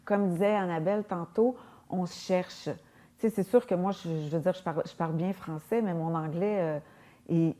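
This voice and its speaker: A woman's voice: fairly low pitch, moderate pace, dark tone. A native French-speaking woman answering on a panel, casual and hesitant, repeating words as she thinks. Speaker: panel guest.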